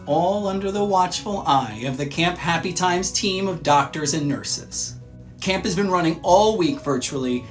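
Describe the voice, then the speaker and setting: A man hosting a children's read-aloud, narrating from a script in a measured, clearly phrased way. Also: sits high, fairly bright tone.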